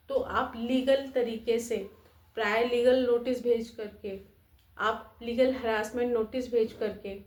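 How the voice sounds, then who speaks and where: Higher-pitched woman in an echoey room. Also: darkish tone. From India. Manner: direct, instructive, addresses the viewer. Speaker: lawyer giving legal advice, channel host. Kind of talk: explanatory legal advice to viewers.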